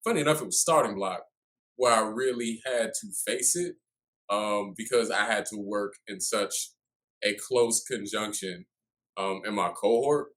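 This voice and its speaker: A man co-hosting a podcast, speaking thoughtfully with frequent pauses and restarts. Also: on the low side, fairly bright tone. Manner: thoughtful, hesitant with many "um"s and restarts. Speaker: podcast co-host. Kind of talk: casual conversational interview.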